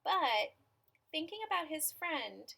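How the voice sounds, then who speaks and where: A woman reading a children's picture book aloud in an expressive, dramatic manner, with asides to young listeners. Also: high-pitched, slow pace, gravelly. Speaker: storybook reader.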